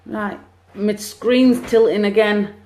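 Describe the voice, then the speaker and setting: A woman's voice: medium pitch, takes it slowly, a little hiss or hum. Chatty woman medium, phone livestream. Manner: chatty, excitable, cheering on share counts. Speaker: psychic medium. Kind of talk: live-streamed card reading. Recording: echoey room, phone mic.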